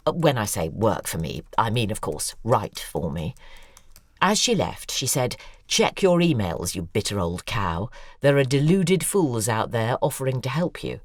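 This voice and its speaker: A commanding, embittered older female author in an audio drama. Her voice is very deep for a woman and moves expressively up and down. She speaks theatrically and insistently, recorded close and dry. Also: dry recording, full character voice. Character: bitter, imperious older woman, a famous novelist confessing.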